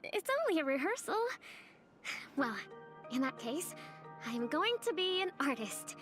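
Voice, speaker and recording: A woman voicing a cheeky, excitable young girl student in an anime game. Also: high-pitched, a little slow, over music. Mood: playful, boastful. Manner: teasing, excitable. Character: playful, bold, cheeky young girl student with artistic ambitions.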